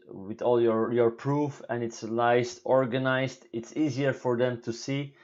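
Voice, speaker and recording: A man in his thirties from Belgium with a deep voice, answering viewer questions in halting English on a home live stream. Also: a little mellow, muffled recording. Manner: halting, many fillers and restarts, non-native English phrasing. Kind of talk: casual live Q&A talk. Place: small room indoors at home.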